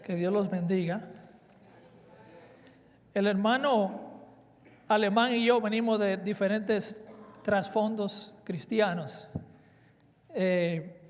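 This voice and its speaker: A man's voice: sits high, slow pace, long pauses. A man in his forties from the Dominican Republic with a Dominican Spanish accent, preaching earnestly at a conference and weaving in personal stories. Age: 40s. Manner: earnest, emphatic, with personal anecdotes. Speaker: conference speaker. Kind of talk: sermon. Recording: reverberant hall.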